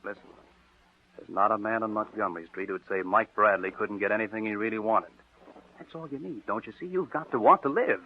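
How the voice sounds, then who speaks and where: An actor playing a scheming young nephew who talks earnestly and stammers in a 1940s radio drama. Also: male voice, on the low side, fairly quick. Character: scheming young male nephew feigning devotion. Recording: tinny old radio broadcast.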